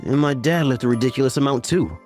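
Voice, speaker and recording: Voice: mid-range voice, fast talker, a little mellow, natural voice. A performer voicing a caring, teasing young uncle in a romantic comedy manga story, mixing narration with dialogue in a mild manner. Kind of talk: anime-style recap narration and dialogue. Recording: music under the voice. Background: background music.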